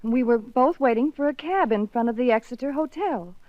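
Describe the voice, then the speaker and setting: A frightened, flustered doctor's wife, played by a woman, her voice pitched a little high. She talks defensively and edges toward panic. The recording is dry and dull, like an old broadcast. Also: animated, a little fast, dry recording, close to an everyday voice. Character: nervous, frightened middle-aged doctor's wife. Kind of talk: 1950s radio drama acting.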